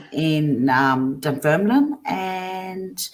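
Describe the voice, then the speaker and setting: A woman from Australia with a notably low voice, introducing herself in a relaxed interview. She chats in a plain, easygoing way. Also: extremely slow. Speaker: psychic guest. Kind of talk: casual interview chat.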